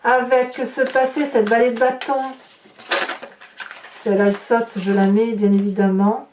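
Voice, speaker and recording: Woman, tarot reader, fairly high voice, conversational, improvised commentary, distant microphone. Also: fairly even intonation, moderate pace. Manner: conversational, tags phrases with questioning interjections, comments as cards turn up. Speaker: tarot reader and horoscope host. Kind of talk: improvised tarot card reading addressed to viewers. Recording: echoey room, distant mic, faint noise.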